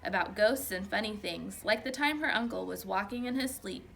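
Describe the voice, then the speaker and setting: A woman's voice: medium pitch, moderate pace, creaky, a bit bright, slight background noise, rough recording. A woman voicing an easygoing storybook father who reminisces in a folksy way. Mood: fond, easygoing.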